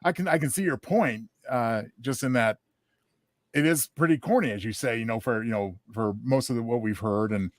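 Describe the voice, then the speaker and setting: A man's voice: medium pitch, moderate pace, dry recording, studio quality. A man co-hosting a podcast, chatting casually and reacting to the discussion. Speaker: podcast co-host. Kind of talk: casual chat.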